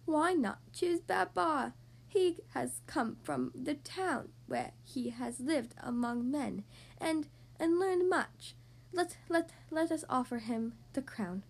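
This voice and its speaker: Lady doing a shaky slow old elephant grandpa, wise, takes his time, storybook voice. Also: high voice, expressive, vocal fry, heavily acted. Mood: solemn. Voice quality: quavering. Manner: very slow, halting.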